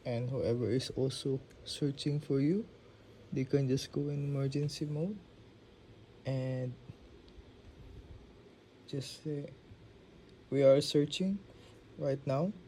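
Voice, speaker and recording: A man's voice: medium pitch, fairly even intonation, slow, pauses often, warm tone, a little hiss or hum. A man giving a casual narrated demo as he reviews a phone app.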